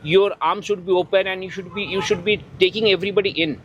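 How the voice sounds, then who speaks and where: A man with an Indian accent speaks in a fairly high voice during an unscripted interview. He repeats words and phrases as he builds his answers. There is faint noise behind him. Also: steady tone, fast talker, a bit bright, muffled recording. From India, Bihar. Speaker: chef, interviewee. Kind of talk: unscripted interview talk mixing English and Hindi.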